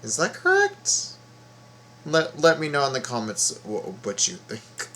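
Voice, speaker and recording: Guy doing reaction commentary, talks real slow and halting, kinda puzzled, little bit of noise behind him. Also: mid-range voice, wildly swooping pitch, a bit bright. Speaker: reaction video host. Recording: faint background noise.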